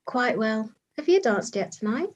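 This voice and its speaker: An actress playing an observant, gossipy young gentlewoman with a confiding manner in a period staged reading. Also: on the high side, very animated, normal speed, dull audio.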